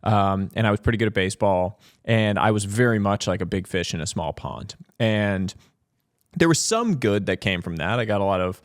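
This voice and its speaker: A man from Massachusetts speaks as a podcast guest, reflecting on his past in an unhurried, searching way and often pausing on fillers. His voice sits fairly low. The sound is close and dry, with no room around it. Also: lively intonation, dry recording. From Massachusetts, USA. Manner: thoughtful, lots of "um" and "like" fillers. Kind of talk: reflective interview storytelling.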